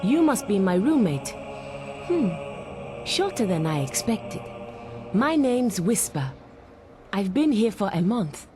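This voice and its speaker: A young girl apprentice hero in a fantasy game. She is cocky and teasing, with a mid-pitched, very animated delivery over clear background music. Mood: cocky, friendly. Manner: brisk, teasing. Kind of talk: video game voice acting. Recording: music behind the voice.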